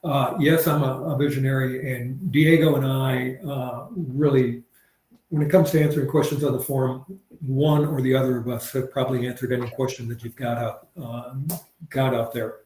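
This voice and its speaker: A man from Tennessee giving a webinar presentation over a video call, measured, with many fillers and restarts. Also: mid-range voice, level tone, a little mellow, roomy sound, poor audio.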